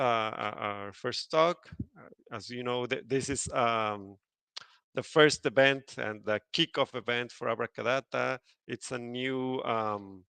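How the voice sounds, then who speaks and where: Man, event host, announcements, hesitant, bright clear voice, dry sound. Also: mid-range voice, dry recording. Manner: hesitant, many fillers and restarts. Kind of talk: conference announcements and speaker introduction.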